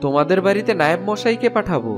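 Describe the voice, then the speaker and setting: A woman's voice: fairly low pitch, lively intonation, racing, music in the background, close to an everyday voice. A woman voicing a forward young village headman's son in a cartoon, direct and admiring. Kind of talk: cartoon fairy-tale acting.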